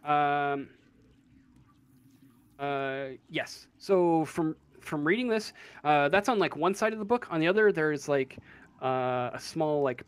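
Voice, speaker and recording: A man working as game master, improvising narration for a tabletop role-playing game in a halting way, searching for words. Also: a little high, animated, clean tone.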